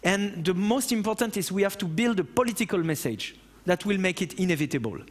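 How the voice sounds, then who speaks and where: A man's voice: sits high, animated, brisk, long reverb tail. A man in his thirties with a French accent, answering audience questions on stage after a talk, passionate and blunt. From France. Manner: passionate, blunt, with casual swearing. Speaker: conference speaker. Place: large dark auditorium on stage.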